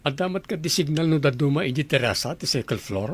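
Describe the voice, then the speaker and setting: A man's voice: a little high, lively intonation, fast, breathy edge. An actor playing a father in charge at a clinic, who questions others in a matter-of-fact way. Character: concerned father, a boss at a clinic.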